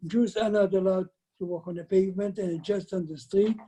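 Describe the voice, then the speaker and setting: A man in his nineties from Lithuania, recounting his life story haltingly, often repeating words, over a video call from home. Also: high voice, fairly even intonation, mellow, slightly rough. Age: 90s. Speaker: guest, survivor giving testimony. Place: indoors at home, by shelves of framed photos. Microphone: video call, computer microphone.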